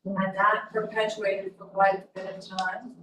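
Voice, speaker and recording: A woman in the audience with a quiet voice, speaking earnestly and insistently. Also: medium pitch, little pitch movement, unhurried. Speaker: audience member. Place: library meeting room. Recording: distant, echoey room with hiss.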